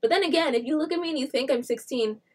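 A nineteen-year-old woman chatting casually with friends, lively and slangy, laughing often. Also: high voice, rapid-fire. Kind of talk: casual chat among friends. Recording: voice a bit far from the mic.

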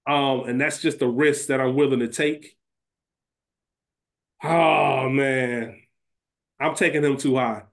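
A man's voice: medium pitch, steady tone, slow, creaky, long pauses. A man co-hosting a podcast in casual, profane banter, thinking aloud and repeating himself as he weighs his choices. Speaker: podcast co-host. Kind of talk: casual sports-draft style banter about a TV series.

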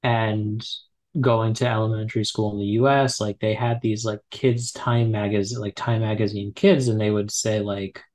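A man's voice: lowish voice, level tone, normal speed, darkish tone. A man in his twenties, a guest in a casual conversation, talking with many fillers and restarts. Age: 20s. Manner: hesitant, full of fillers and restarts. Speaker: podcast guest.

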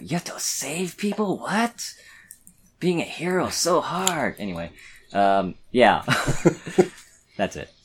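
A man speaking as a podcast panelist in casual, conversational chat. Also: lowish voice.

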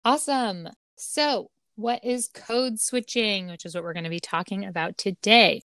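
Female co-host, measured explainer read, very dry close mic. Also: mid-range voice, fairly bright tone, dry recording. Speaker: podcast co-host. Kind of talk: scripted explanatory narration.

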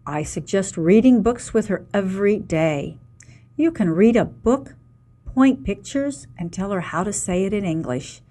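A woman playing a teacher who gives clear, reassuring advice to a parent in a scripted textbook dialogue. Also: medium pitch, warm tone. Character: kindergarten teacher giving calm advice.

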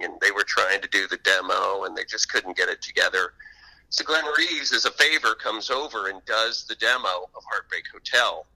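A man speaking as an author guest in an interview, telling stories conversationally and acting out the odd quote in an animated way. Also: low voice, creaky, low-quality recording.

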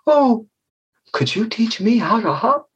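Man as a high-voiced, eager little girl snake. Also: sing-song, a little slow, slightly rough, heavily acted. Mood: curious. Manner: bright-eyed, childlike, eager. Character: curious, playful little female young snake.

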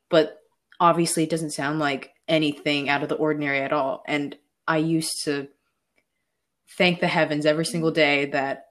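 Young woman, low voice, relaxed conversational podcast talk, reflective personal storytelling. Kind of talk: casual discussion.

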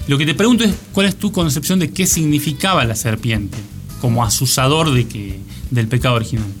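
A man's voice: mid-range voice, brisk. An Argentine man co-hosting a radio show in casual, joking panel chat. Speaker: radio co-host. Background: background music.

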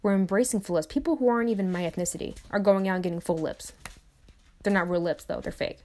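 A woman's voice: mid-range voice, fast, a little mellow. A young adult woman speaking to camera in a reflective motivational monologue, repeating phrases and tossing in conversational asides. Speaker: vlogger.

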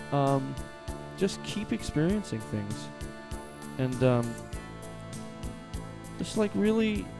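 Gravelly, blunt male podcast co-host. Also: medium pitch. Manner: blunt, profane, prodding. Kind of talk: casual chat.

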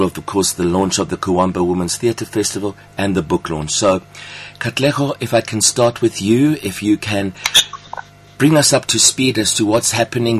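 A man's voice: lowish voice, slightly rough. A man hosting a radio interview, introducing guests in an effusive, complimentary manner. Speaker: radio host.

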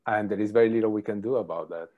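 A middle-aged man, a professor answering interview questions thoughtfully, pausing often with ums. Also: on the low side, phone-quality sound. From Colombia. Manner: thoughtful, hesitant with many ums. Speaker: professor, podcast guest.

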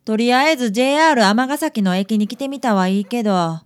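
A woman's voice: fairly high pitch, brisk, smooth, lightly acted. A woman with a Kansai accent playing a sensible young detective's assistant in a community radio drama, talking in a wry, teasing way. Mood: curious.

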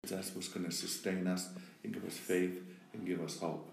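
A man from Wisconsin leading a group meeting, encouraging and lively, often laughing. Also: sits low, normal speed, vocal fry, very noisy. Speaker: group leader. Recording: roomy echo.

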